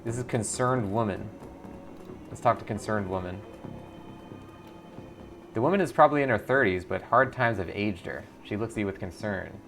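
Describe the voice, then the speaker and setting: Gravelly man narrating a game playthrough. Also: lowish voice, moderate pace, long pauses, a bit bright, soft background music. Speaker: gaming series host.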